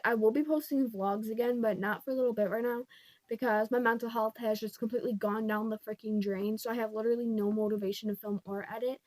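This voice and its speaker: A woman's voice: on the high side, no echo, low-quality recording. A young woman vlogger, congested, casually telling a rambling storytime at home, full of fillers like "like" and "pretty much".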